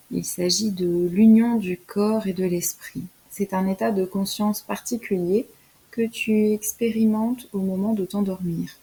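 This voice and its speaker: Female meditation guide: mellow, soft and breathy, soothing scripted instruction for deep relaxation. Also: rough recording. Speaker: yoga nidra guide.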